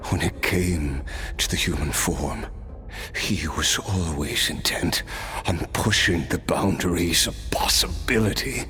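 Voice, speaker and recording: An actor playing a hardened immortal man who slowly and reflectively recalls his past, in a video game. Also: low-pitched, sharp tone, exaggerated voice. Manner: slow, reflective storytelling.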